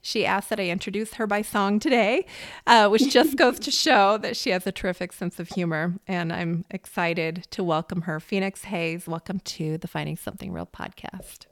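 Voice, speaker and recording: An enthusiastic woman host speaks in a mid-range voice, bright in manner and quick to laugh. Her sound is dry and close, with no echo. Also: medium pitch. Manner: upbeat, enthusiastic, laughs readily. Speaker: podcast host. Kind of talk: casual podcast hosting. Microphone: video call.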